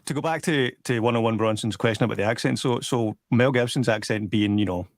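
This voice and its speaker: A man's voice: medium pitch, fast, dry recording. Chatty Glaswegian man, dry close sound. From Glasgow, Scotland. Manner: chatty, animated anecdotes. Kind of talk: casual film discussion.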